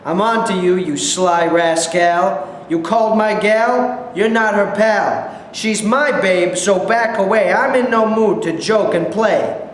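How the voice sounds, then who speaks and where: A man's voice: sits high, takes it slowly. A man acting in a staged play reading as a belligerent, jealous tough guy, taunting and confrontational. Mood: menacing, taunting. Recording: very echoey hall, faint background noise.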